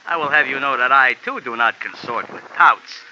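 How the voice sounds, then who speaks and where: A man's voice: mid-range voice, moderate pace, bright, forceful tone, slight background noise. An actor playing a small, pompous, touchy male jockey who talks in a formal, stilted way, in 1950s radio drama style. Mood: indignant. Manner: formal, stilted, easily offended. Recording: lo-fi old radio broadcast.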